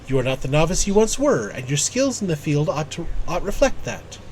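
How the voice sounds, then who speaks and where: A man's voice: on the high side, big pitch swings, a little fast, a little mellow, slight background noise, low-quality recording. A man voicing a scholarly, exacting guild mentor from a fantasy game, lecturing a pupil in formal, old-fashioned phrases. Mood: instructive, formal. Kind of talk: reading game quest dialogue aloud.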